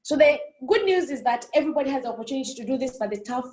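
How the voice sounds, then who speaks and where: A woman's voice: sits high, animated, very fast, a little mellow, roomy sound. A woman from Burundi giving a passionate conference keynote, earnest and repeating phrases for emphasis. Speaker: keynote speaker. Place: on a remote call.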